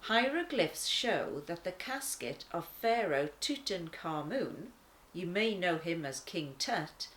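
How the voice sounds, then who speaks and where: A woman reading a children's devotional aloud in a deliberate, expressive manner. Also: on the low side, slight background noise. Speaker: children's church story reader.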